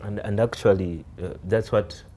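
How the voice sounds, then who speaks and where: A man's voice: lowish voice, fairly even intonation, moderate pace, mellow, a little hiss or hum. A man, interviewing a guest in a casual way.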